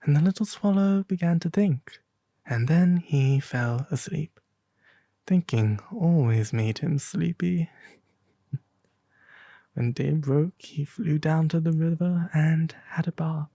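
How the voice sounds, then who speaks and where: A performer voicing a refined owl demon prince, reading a storybook tale softly and gently in ASMR style. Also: mid-range voice, no room sound, clean studio sound. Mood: gentle, soothing. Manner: slow, gentle, theatrical storytelling.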